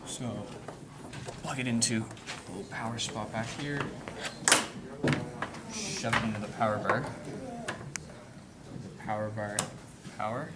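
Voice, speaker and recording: A man giving a casual hands-on tutorial in an informal, halting way, thinking aloud as he works as an instructor. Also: on the low side, steady tone. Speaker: instructor demonstrating audio equipment. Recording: clearly noisy room recording with handling sounds.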